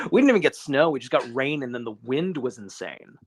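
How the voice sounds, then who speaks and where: A man's voice: mid-range voice, animated, normal speed, slight background noise. A man co-hosting a casual podcast over a phone call, talking in a rambling, casually profane way. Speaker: podcast co-host.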